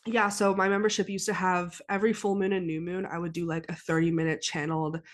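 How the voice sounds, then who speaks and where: A woman's voice: lowish voice, level tone, fast. A young adult woman hosting her own podcast in casual solo chat, congested from a head cold, rambling with frequent ums and restarts. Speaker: podcast host and psychic medium.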